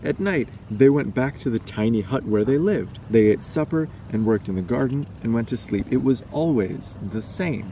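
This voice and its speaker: A man's voice: medium pitch, lively intonation, normal speed, hardly any pauses, warm tone. A man reading a scary story aloud as a storyteller. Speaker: storyteller and vlogger. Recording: distant mic, noisy background.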